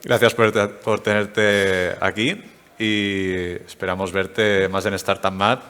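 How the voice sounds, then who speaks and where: A man conducts a stage interview in a low-pitched voice, pausing often with fillers as he works toward his questions. The sound carries a long hall reverb. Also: level tone, a bit bright, clear, resonant tone. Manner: hesitant, with many fillers. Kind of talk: stage interview at a tech event. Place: event stage.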